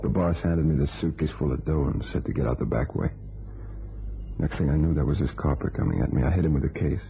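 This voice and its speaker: An actor playing Rusty Connors's type, a tough, scheming ex-convict with terse slangy talk, in a crime radio play. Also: male voice, rumbling low, fast, a little hiss or hum. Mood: confiding. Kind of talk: old-time crime radio play acting. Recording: tinny band-limited old radio, music behind.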